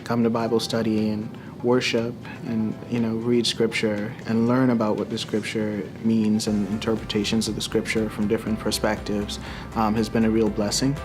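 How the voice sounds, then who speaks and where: A man of twenty-nine speaks in a low, flat, measured voice, feeling his way through each sentence with small hesitations. Clear background music runs underneath him. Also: poor audio. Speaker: church young adults group member. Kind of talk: testimonial interview.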